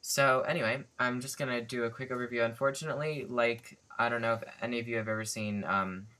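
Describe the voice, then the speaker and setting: A man's voice: mid-range voice, fairly even intonation, fast talker, pushed and bright. A young man casually reviewing a language book for his vlog, rambling with lots of fillers and restarts, recorded on a built-in computer mic at his desk. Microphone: built-in computer webcam mic.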